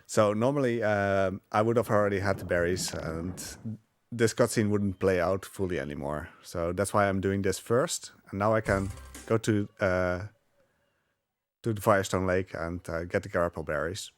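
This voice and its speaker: A man's voice: sits low, moderate pace. A man giving casual live commentary on his own speedrun, rambling with hesitant asides as he plays. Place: live gaming marathon event, game audio behind.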